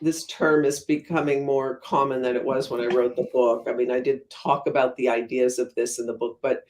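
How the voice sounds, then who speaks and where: A woman's voice: very deep voice, steady tone, normal speed, a little mellow. Woman, expert interview guest. Conversational explainer, thoughtful stop-and-start delivery, emphatic on key terms. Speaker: guest academic, economist and author.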